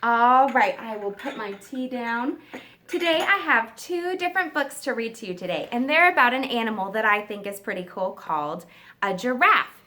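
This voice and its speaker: A woman teacher reading a story aloud to young children, expressive and pausing to explain and ask them questions. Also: on the high side, a little hiss or hum. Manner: expressive, asks listeners questions. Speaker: kindergarten teacher.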